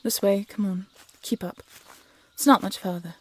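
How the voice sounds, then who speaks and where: Woman playing a wry, husky peasant huntress. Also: mid-range voice, steady tone, normal speed, natural voice. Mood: wry, guarded. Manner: casual, evasive, sardonic. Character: rough, guarded peasant huntress, wry and defiant. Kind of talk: fantasy audio roleplay acting.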